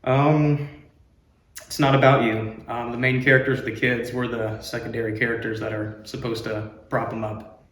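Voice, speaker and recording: A young man speaks at a middle pitch in an echoey room. He sounds relaxed and a little hesitant, pausing with fillers as he answers casual interview questions. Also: slight background noise. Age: young adult. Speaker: college student youth leader.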